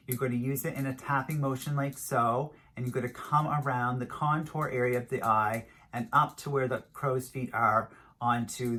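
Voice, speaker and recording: A man's voice: medium pitch, bright, forceful tone. A middle-aged man giving an informal product review as a beauty vlogger, emphatic and repetitive, stretching out key words. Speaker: beauty vlogger and skincare advisor.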